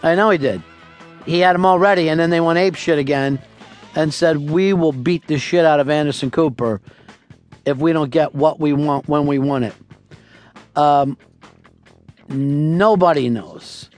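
A man hosting a radio talk show, taking callers and telling absurd tall tales with a deadpan, profane delivery. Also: fairly high pitch, measured pace, long pauses, faint music behind.

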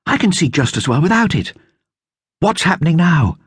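A man voicing an imaginative, brisk young girl in a storybook, wistful and given to dramatic phrasing. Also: fairly high pitch, sing-song, breathy edge, no echo, clean studio sound, voice pushed up, exaggerated voice. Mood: impatient.

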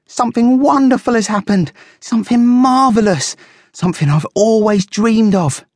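A woman playing an eager, excitable male guinea pig with a squeaky, enthusiastic manner in a children's storybook. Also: unhurried, slightly rough, no echo, studio quality, full character voice. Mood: thrilled.

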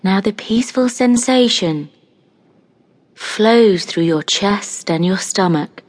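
A woman narrating a scripted guided meditation in a calm, soothing, unhurried manner, leaving long pauses between phrases. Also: mid-range voice.

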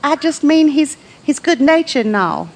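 An actress playing a discontented, scheming farmer's wife in an audio drama, with a deliberate, bitter delivery. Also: female voice, high-pitched, sing-song. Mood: defensive. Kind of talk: dramatic audio play with first-person narration.

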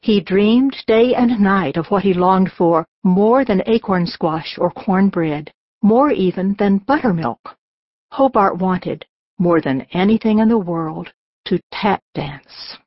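A woman's voice: mid-range voice, takes it slowly, no room sound, highs cut off. A woman narrating a scripted children's audiobook.

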